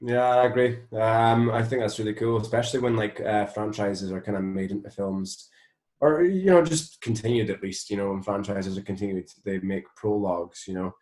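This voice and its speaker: Scottish man, lowish voice, rambling casual interviewee, appreciative, strongly echoing room. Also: steady tone, moderate pace, a little mellow. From Scotland. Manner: appreciative, rambling with frequent "you know". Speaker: interview guest, musician and voice actor. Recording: roomy, echoing sound.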